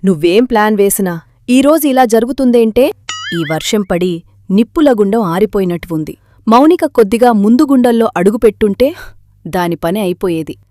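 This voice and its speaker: A woman's voice: mid-range voice, animated, normal speed, mellow, dry recording, close to an everyday voice. A woman voicing a scheming, resentful daughter-in-law in a cartoon family story. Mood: annoyed.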